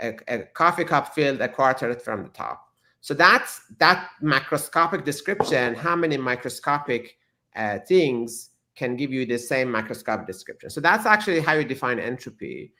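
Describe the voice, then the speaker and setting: A man whose first language is Persian, a physicist guest explaining ideas in an interview, thoughtful and halting with many restarts. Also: on the high side, bright, forceful tone.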